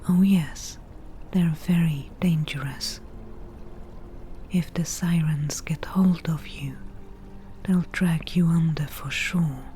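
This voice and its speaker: A wry forest witch, played by a woman, whispers softly and very slowly, low and breathy. She is a dryly teasing potion brewer who drives a bargain in an ASMR fantasy roleplay. Her tone is mellow, with faint noise behind it. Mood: calm, wry. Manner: slow, unhurried, dryly teasing.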